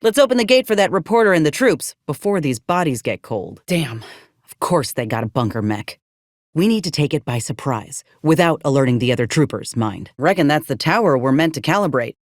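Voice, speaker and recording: An actress playing a plucky, principled ex-soldier turned freelancer in a space-frontier video game, with plainspoken, folksy phrasing. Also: female voice, sits low, big pitch swings, brisk, dry recording, studio quality. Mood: determined, wry, then wary. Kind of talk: video game character acting.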